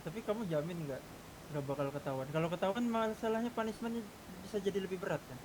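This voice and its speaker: A man's voice: quick pace, darkish tone. A man talking casually on gaming voice chat, repeating words as he reasons. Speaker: gamer discussing plans. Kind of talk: casual group chat during online roleplay gaming. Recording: noisy background rumble.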